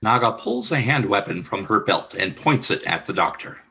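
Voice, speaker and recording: A man reading the stage directions of a fan radio play in an even, descriptive way. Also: lowish voice, normal speed, muffled recording. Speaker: narrator.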